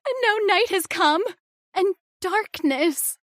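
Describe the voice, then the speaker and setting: An actress playing a terrified young daughter in a radio horror drama, overwrought and fearful. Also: female voice, very high voice, slow, a bit bright, no room sound, studio quality, heavily acted. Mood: terrified, haunted. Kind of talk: old-time radio drama acting.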